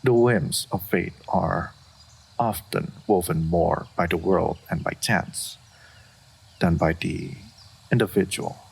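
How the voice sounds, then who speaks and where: A man voicing an aloof, dignified, solitary dragon in a fantasy roleplay, speaking formally and with measured reserve. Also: deep voice, gravelly, husky, heavily acted. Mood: grave, cautioning. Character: aloof, solitary, dignified old male dragon of great power. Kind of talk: scripted fantasy roleplay audio.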